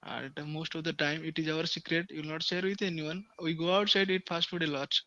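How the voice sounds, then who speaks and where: A man's voice: on the high side, fairly even intonation, fairly quick, bright, forceful tone, no room sound, muffled recording. Young Odia male tutor, dry close phone sound, hesitant, earnest. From Odisha, India. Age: young adult. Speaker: English tutor. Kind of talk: casual video-call chat. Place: room with friends nearby.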